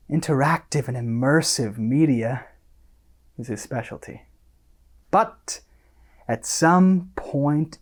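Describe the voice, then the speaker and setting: An actor playing a smug, self-satisfied content creator in a radio monologue, condescending and theatrical, with deliberate pauses. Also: mid-range voice, darkish tone.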